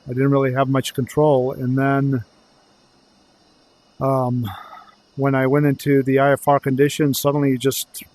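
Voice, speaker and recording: A man thinking aloud haltingly as he plays a pilot in a flight simulator, mixing commentary with radio calls. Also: medium pitch, monotone, darkish tone, no room sound.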